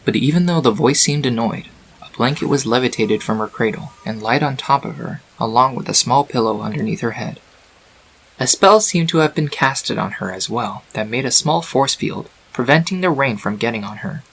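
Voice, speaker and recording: A man's voice: medium pitch, moderate pace, vocal fry, fairly bright tone, breathy edge, rough recording. A man reading scripted storybook narration for a fan fiction.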